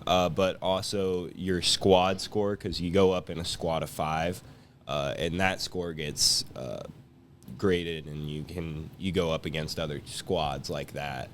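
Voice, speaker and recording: A teenage guy with a lowish voice chats casually as a guest. There is faint room noise behind him. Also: fairly even intonation, takes it slowly. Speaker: guest, high school senior. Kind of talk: casual chat.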